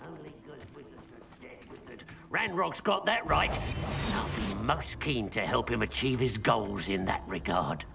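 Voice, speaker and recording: A man voicing a hostile, contemptuous goblin soldier in a video game, with a gravelly voice. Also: medium pitch, expressive, moderate pace, noisy background. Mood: scornful. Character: hostile, menacing male goblin loyalist soldier. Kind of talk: video game character acting.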